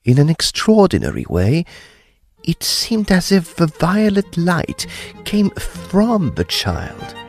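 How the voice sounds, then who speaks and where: A man's voice: fairly high pitch, wildly swooping pitch, slow, a little mellow, soft background music, heavily acted. An actor playing a grave, intrepid ghost hunter, narrating his own ghost story in a theatrical, suspenseful way. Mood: awed, tense. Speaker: actor narrating an audio drama. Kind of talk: dramatic first-person ghost story narration.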